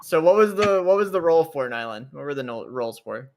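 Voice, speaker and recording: A man's voice: on the high side, animated, fairly quick, background noise. A man playing a tabletop roleplay, chatting casually in a slangy, sweary way. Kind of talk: casual chat during a game.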